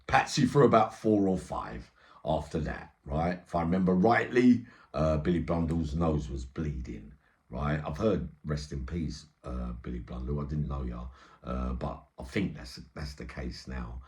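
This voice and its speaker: A man's voice: very deep voice, measured pace, a little mellow. A middle-aged man telling an eyewitness story informally, in streetwise slang with frequent check-in tags. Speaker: storyteller and channel host.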